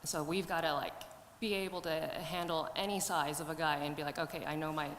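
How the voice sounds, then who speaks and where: A woman's voice: sits low, fairly even intonation, a little fast, a bit bright. A woman fighter speaking casually and forthrightly at a press conference. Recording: echoey hall, faint noise.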